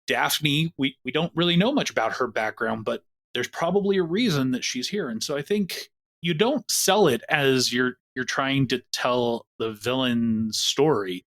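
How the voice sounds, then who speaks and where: A man's voice: mid-range voice, lively intonation, a little slow, fairly bright tone. A man who produces a podcast, talking at length in a casual discussion with plenty of examples. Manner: expansive, storytelling.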